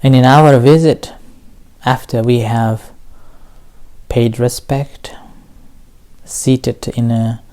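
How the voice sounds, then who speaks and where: Very slow, mellow male meditation teacher. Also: medium pitch, fairly even intonation. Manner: slow, gentle, with long pauses between short phrases. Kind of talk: guided meditation dharma talk.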